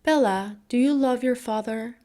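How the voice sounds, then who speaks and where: A woman's voice: fairly high pitch, moderate pace, mellow, close to an everyday voice. A woman voicing a gloomy but loving merchant father in a storybook, grave and tender.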